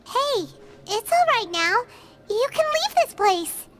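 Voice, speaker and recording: A woman voicing a tiny, eager and kind female fairy companion in a video game, talking in a high, bouncy way. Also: very animated, slow pace, heavily acted. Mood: gentle. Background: loud game music.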